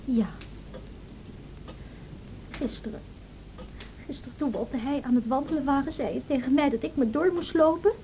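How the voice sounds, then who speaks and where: A woman playing a lovestruck, aggrieved eighteen-year-old girl in an old radio play, halting, plaintive and tearful. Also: high voice, warm tone, a little hiss or hum, poor audio. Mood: accusing.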